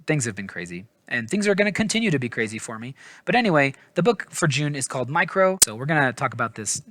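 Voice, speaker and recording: A man's voice: medium pitch, big pitch swings, fast, a bit bright, slight background noise. A man giving a casual, rambling book review in an informal, hesitant, self-deprecating way, often stumbling over his words. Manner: informal, hedging, apologetic.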